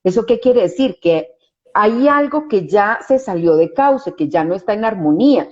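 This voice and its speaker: Woman explaining, mellow and muffled. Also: mid-range voice, expressive, normal speed. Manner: restarts often, tag questions asking for agreement. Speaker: psychologist guest. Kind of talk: interview answers, explanatory.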